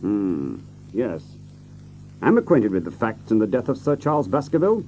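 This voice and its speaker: A man playing a shrewd, self-assured Victorian gentleman detective in a radio drama, with a dry, measured, wryly superior manner. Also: on the low side, big pitch swings, soft background music. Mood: wry, probing.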